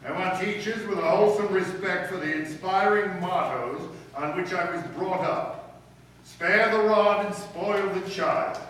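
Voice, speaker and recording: A man's voice: high-pitched, measured pace, a bit bright. Man playing a pompous, stern principal, very echoey. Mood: self-important. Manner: pompous, authoritarian, formal diction. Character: stern pompous male high school principal. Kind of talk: old-time radio comedy acting. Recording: echoey room from far off, faint noise.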